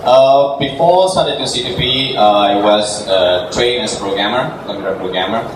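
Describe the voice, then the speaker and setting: A middle-aged man with a Burmese accent and a lowish voice answers as a founder being interviewed live. His delivery is informal and hesitant. He sounds far from the mic in a heavily echoing venue. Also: normal speed. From Myanmar. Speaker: company founder, interview guest. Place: indoor live meetup event before an audience. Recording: very echoey, noisy venue from far off.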